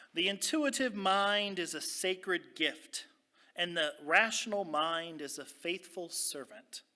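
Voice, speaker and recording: A man reads inspirational quotations aloud for a spiritual gathering. His voice sits a little high and carries a bright, forceful edge. He takes the words at a very slow, deliberate pace. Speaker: inspirational reader at a spiritual service. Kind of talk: reading quotations aloud to a congregation.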